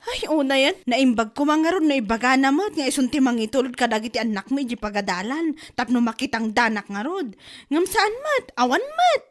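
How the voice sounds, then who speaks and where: A woman's voice: high voice, sing-song, brisk. A woman with an Ilocano accent acting a complaining wife in a comedy drama, full of exasperated exclamations.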